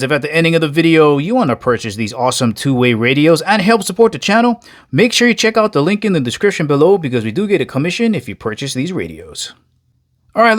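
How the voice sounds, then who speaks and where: A man giving a casual, conversational product review, addressing viewers directly as he explains. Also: on the high side.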